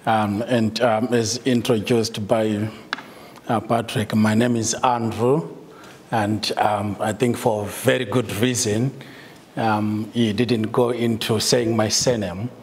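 A man's voice: on the low side, flat delivery, takes it slowly, in a large hall, a little hiss or hum. A middle-aged man from Zimbabwe with a Zimbabwean accent, giving a talk to staff with many ums and uhs and some light laughter. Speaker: guest speaker, solicitor. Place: meeting room, indoors.

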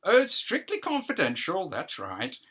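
Man acting pompous male judge, high pitch, lively swoops, condescending, stage play. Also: husky, exaggerated voice. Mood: patronising. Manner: brisk, condescending, faux-genial. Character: fussy, patronising male judge at party hearing.

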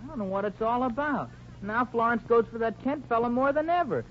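A man's voice: very high voice, brisk. An actor playing a naive, earnest small-town teenage boy, slangy and scrappy, in a 1940s radio comedy. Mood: puzzled, glum. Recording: dull, noisy old radio recording.

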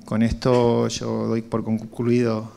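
A middle-aged Argentine man speaks as a founder presenting at a conference. His voice is mid-pitched with a strong gravelly creak, and he feels his way through phrases, repeating words. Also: monotone, slow, warm tone. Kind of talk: conference pitch and answers to questions. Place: indoor conference room with small seated audience. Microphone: handheld microphone.

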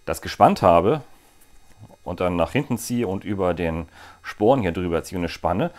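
A man's voice: low-pitched, animated, normal speed, a little mellow. A man in his forties chatting casually as he fits parts to a bike in a small workshop, with jokey asides. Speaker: bike gear vlogger. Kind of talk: hands-on product demo.

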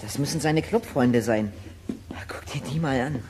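A man's voice: mid-range voice, moderate pace, lightly acted. Man playing a curious boy detective, noisy background. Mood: confident. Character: curious, well-spoken, cheeky boy detective. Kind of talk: German audio drama acting.

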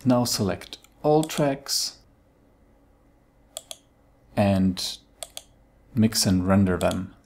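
A man narrating a step-by-step software tutorial in a dry, matter-of-fact way. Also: on the low side, expressive, very slow, long pauses, slight background noise.

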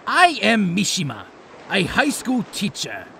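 A man voicing a composed, courteous schoolteacher with a formal, measured manner in a game dub. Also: high voice, huge pitch swings, vocal fry, pushed and bright, a little hiss or hum, full character voice. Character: composed, courteous male high school art teacher. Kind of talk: visual novel game dub, narration and dialogue.

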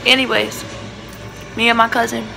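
A young woman vlogging on her phone with a hoarse, nearly lost voice, speaking quietly. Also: fairly high pitch, little pitch movement, normal speed, music in the background, poor audio. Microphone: phone camera held at arm's length.

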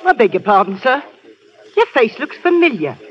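An actor playing a polite, prying waiter in a 1930s radio drama, recorded on a dull old broadcast. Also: male voice, extremely high pitch, sing-song, normal speed. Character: polite fussy male waiter.

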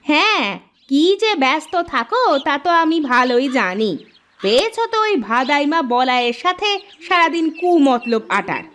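A woman voicing a sharp-tongued village housewife who scolds her scheming husband. She talks in a scolding, sarcastic way in a cartoon. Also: high-pitched, sing-song, moderate pace. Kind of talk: cartoon voice acting.

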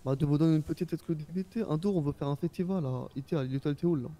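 A man's voice: on the high side, quick pace, dark tone, close to an everyday voice. A man playing a young, easygoing Japanese-born rap label boss in a game, talking in informal, rambling slang. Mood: enthusiastic. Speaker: role-player. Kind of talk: casual in-character game chat.

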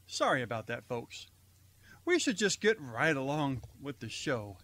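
Husky, lively male radio-theater host. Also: mid-range voice, measured pace. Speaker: host and announcer of an amateur online radio theater. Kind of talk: scripted show introduction.

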